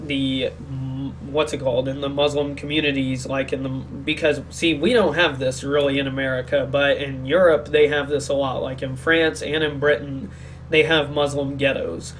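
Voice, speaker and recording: A man's voice: mid-range voice, flat delivery, normal speed, fairly bright tone, slight background noise, rough recording. A sick man co-hosting a podcast, rambling through a casual opinionated discussion and often searching for words. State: sick, speaking more quietly than usual. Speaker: podcast co-host.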